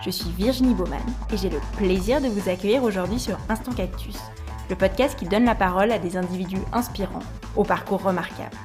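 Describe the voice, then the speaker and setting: A woman's voice: mid-range voice, fairly quick, music in the background. A woman speaking as the host and interviewer of a podcast. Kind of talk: scripted podcast introduction and interview.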